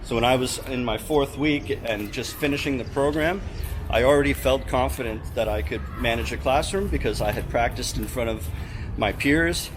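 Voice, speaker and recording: Young Canadian man, relaxed vlogger, mid-pitched, clear city noise behind him. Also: normal speed. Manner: chatty, relaxed, many fillers. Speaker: vlogger, English teacher. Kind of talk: casual vlog talk to camera. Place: outdoors in a city street. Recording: outdoor street noise behind.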